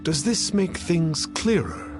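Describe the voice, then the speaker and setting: An actor playing a pompous, serene self-styled immortal mystic in a video game, speaking in archaic, formal phrases. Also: male voice, on the high side, very animated, slow pace, over music. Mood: lofty, serene. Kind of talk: video game character acting.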